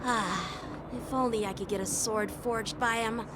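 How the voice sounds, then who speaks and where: A woman's voice: a little high, fairly even intonation, measured pace, a bit bright, a little hiss or hum. A woman playing an earnest, chatty young male knight officer in a video game. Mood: eager, polite. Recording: game music behind.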